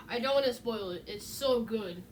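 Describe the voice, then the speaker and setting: A woman giving casual, unscripted video essay commentary in a halting, rambling way, often restarting her phrases. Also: fairly high pitch, slight background noise, poor audio.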